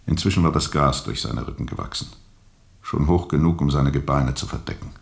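An actor playing a world-weary steamboat captain who tells of his journey gravely and reflectively, with heavy pauses, in a radio drama. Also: rumbling low. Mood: grave, haunted. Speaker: narrator and lead character.

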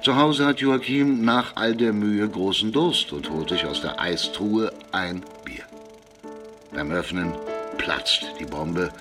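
An actor narrating an absurd farce for a radio drama in a measured, deliberate storytelling manner. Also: male voice, lowish voice, music in the background. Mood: dryly storytelling.

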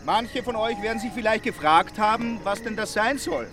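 An actor in a radio drama playing a jovial, eager holiday farm entertainer, chummy and announcing to guests. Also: male voice, high voice, normal speed, music in the background, close to an everyday voice. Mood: rousing, presenting.

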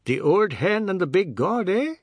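Man acting a sneering, high-pitched bullying uncle. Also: sing-song, normal speed, no echo. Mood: mocking. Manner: non-standard colloquial grammar, taunting rhetorical questions. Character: bullying, sneering middle-aged uncle, jovially menacing, boastful.

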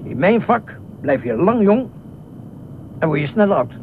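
Through a thin, phone-like recording with clear noise comes an eccentric middle-aged beach watchman in a radio play. He is pompous and officious, rambling on with self-importance and excitable indignation. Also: high-pitched, a little slow, heavily acted. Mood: proud. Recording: noisy, tinny phone-like radio drama recording.

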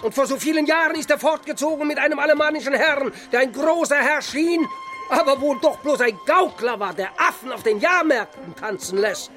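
A high-pitched, ranting old peasant father. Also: brisk, fairly bright tone, soft background music. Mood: aggrieved, lamenting. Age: older. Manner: ranting, scolding. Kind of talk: German radio play acting.

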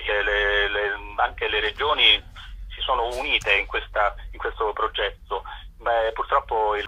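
Man, very forceful bright tone, lowish pitch, halting interview delivery, muffled phone sound. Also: husky. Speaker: festival director, radio interview guest. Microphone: telephone. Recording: dull, lo-fi phone line.

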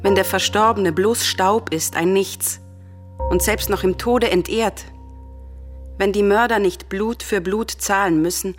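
An actress playing a grieving, vengeful, defiant princess in a classical radio tragedy, speaking in solemn, impassioned declamation. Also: female voice, medium pitch, measured pace, soft background music, close to an everyday voice. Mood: bitter lament.

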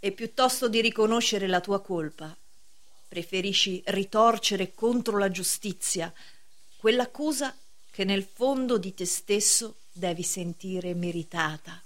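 An actress playing a solemn, severe preacher in a radio play, speaking in a measured, declamatory way. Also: female voice, medium pitch, lightly acted. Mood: grave, reproving. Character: solemn, severe female preacher, cryptic gatekeeper of justice. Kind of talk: theatrical radio drama acting.

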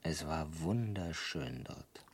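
A man playing an earnest, bewildered ordinary human in a radio drama, speaking in a hesitant, soft way. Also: a bass voice, animated, slow pace, heavily acted. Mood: dreamy, wistful. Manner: hesitant, soft-spoken, wondering. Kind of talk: German radio drama acting.

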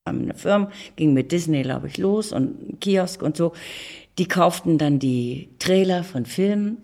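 A woman's voice: fairly low pitch, animated, a little slow, gravelly, warm tone. A woman of mature years. She talks conversationally, looking back as an interviewee. She leans on key words and repeats them for emphasis. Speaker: interviewee, audio drama producer.

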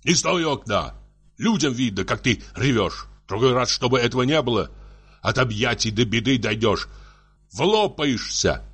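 A man's voice: medium pitch, lively intonation, moderate pace, fairly bright tone, husky, pitched above the performer's own voice. A man voicing a tipsy, jealous, bullying husband who scolds in sputtering, tearful exclamations for a story. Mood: scolding. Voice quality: muffled, tearful, raised voice.

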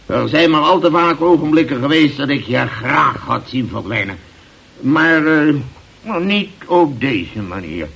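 An actor in an old radio drama playing a grumbling, blustering older boss, gruff but fair, who hesitates and interrupts. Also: male voice, on the high side, expressive, measured pace, fairly bright tone, dull audio, exaggerated voice. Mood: grudging, blustering. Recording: old radio play recording.

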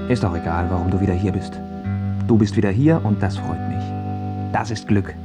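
An actor playing an anxious, self-doubting failed singer in a radio drama, brooding and needy in manner. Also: male voice, lowish voice, normal speed, music in the background, close to an everyday voice. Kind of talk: stage-like radio drama acting.

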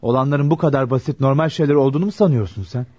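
A man's voice: medium pitch, racing, warm tone, lightly acted. An actor playing a troubled, proud and morally strict young working man in a radio play, earnest and brooding. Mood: indignant. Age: young adult. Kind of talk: Turkish radio theatre acting. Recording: dull lo-fi radio drama recording.

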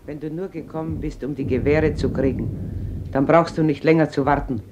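A woman's voice: low-pitched, steady tone, normal speed, muffled recording, lightly acted. An actress playing a stern, embittered mother in a radio drama, speaking firmly and without yielding. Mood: stern, defiant. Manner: firm, unyielding. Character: stern, embittered Spanish mother guarding her family. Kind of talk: classic German radio drama acting. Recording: noisy old radio recording.

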